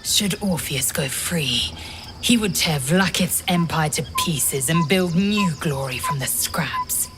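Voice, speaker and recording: A woman's voice: lowish voice, wildly swooping pitch, slow pace, over music, heavily acted. A woman playing a fervent, stern alien warrior in a video game, speaking in solemn, clipped declarations. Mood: fervent, reverent. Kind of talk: dramatic game dialogue.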